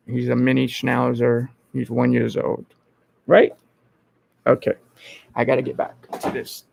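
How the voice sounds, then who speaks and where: A man from the Bronx, hosting a casual livestream and thinking aloud in an informal, halting way. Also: medium pitch, vocal fry, dry recording. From Bronx, New York. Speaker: host of an online entertainment interview channel. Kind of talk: casual livestream chat answering viewers.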